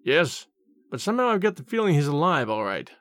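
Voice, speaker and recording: A male reader acts out a small, cocky policeman in an audiobook story. He is cheerful and opinionated, speaking informally with animated swings of pitch. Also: fairly quick, no room sound, clean studio sound. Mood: stubborn hope. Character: cheerful, cocky, ferret-faced little male police inspector.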